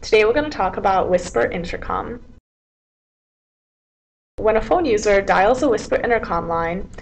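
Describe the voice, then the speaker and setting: A woman presenting a software tutorial in an informal, explanatory, step-by-step way. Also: mid-range voice, expressive, normal speed, vocal fry, slight background noise, low-quality recording. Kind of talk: software tutorial narration, semi-scripted.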